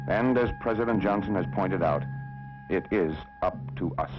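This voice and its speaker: Deep american guy doing 60s propaganda film narration, solemn and emphatic, dull old soundtrack w music under it. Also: moderate pace. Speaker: documentary narrator.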